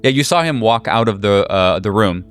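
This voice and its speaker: A man running a tabletop horror game as game master, narrating casually in a halting, slangy, jokey way. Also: fairly low pitch, lively intonation, clean tone, soft background music.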